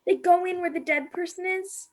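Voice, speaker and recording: A woman's voice: very high-pitched, fairly even intonation, moderate pace. A woman acting a timid, sorrowful young captive maid in a radio play, plaintive and naive, recorded over a video call. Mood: hushed horror.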